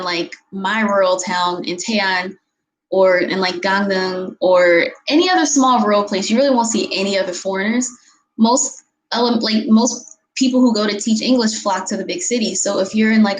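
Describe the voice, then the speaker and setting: A woman's voice: medium pitch, a little hiss or hum, rough recording. A woman, chatting casually as she answers viewer questions from her bedroom on a webcam, giggling often and using many fillers. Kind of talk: casual chat. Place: bedroom, indoors. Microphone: webcam at desk distance.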